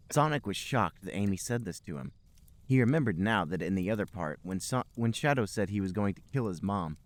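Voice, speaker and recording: A man with a fairly low voice reads comic fiction aloud as a podcast host. His delivery is emphatic and dramatic, with very animated pitch. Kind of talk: comic read-aloud narration of amateur fiction.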